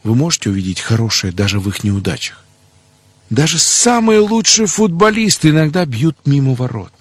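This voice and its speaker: A middle-aged man delivers a sermon with a slightly rough, husky voice. He teaches point by point and turns questions on the listener. The sound is dry, with no room echo. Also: medium pitch, big pitch swings, normal speed. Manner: didactic, poses rhetorical questions to listeners. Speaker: preacher. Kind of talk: sermon on family life, scripted teaching.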